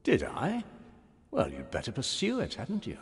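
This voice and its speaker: An actor playing a sly, condescending shadow warrior from another realm in a fantasy game, his voice husky. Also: mid-range voice, wildly swooping pitch, normal speed. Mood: mocking. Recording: long reverb, music behind.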